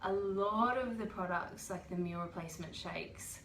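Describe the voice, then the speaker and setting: A woman's voice: medium pitch, moderate pace, fairly bright tone, a little hiss or hum. A woman who has a cold, hosting a casual livestream and explaining things patiently with lots of ums. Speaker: host. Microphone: webcam or phone livestream. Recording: echoey room.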